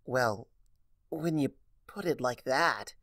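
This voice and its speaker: A man's voice: medium pitch, expressive, slow pace, fairly bright tone, no room sound, clean studio sound, full character voice. A man voicing an earnest, anxious young female pony in a fantasy story reading, with a hesitant manner. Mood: sheepish.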